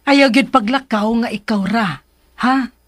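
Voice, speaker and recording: An actress playing a caring, worried mother in a radio drama, gentle but insistent as she cautions. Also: fairly high pitch, unhurried, no echo. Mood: worried, cautioning.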